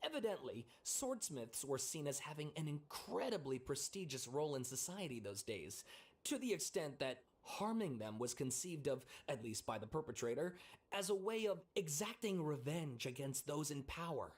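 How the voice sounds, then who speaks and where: An actor voicing an earnest scholar in a video game, explaining a theory in formal, elaborate phrasing. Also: male voice, a little high, sing-song, moderate pace. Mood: lecturing. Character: earnest scholar man, wordy and academic.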